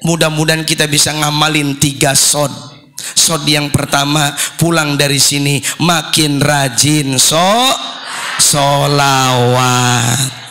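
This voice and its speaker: A man, hoarse after losing his voice, preaching a comic sermon and calling out questions to the congregation. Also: on the high side, slow pace, faint music behind. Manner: joking, lively, calls out questions to the crowd. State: hoarse, recovering from lost voice. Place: religious gathering.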